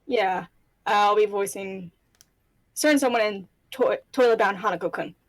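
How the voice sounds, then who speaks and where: A woman's voice: medium pitch, normal speed, no room sound. Hesitant woman in casual chat, dry sound. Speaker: voice actor panelist. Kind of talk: casual self-introduction.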